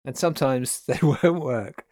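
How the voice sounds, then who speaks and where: A man's voice: medium pitch, unhurried, a little mellow, no echo, studio quality. A man hosting a casual chat about worship songs, talking in an enthusiastic, encouraging way and laughing easily.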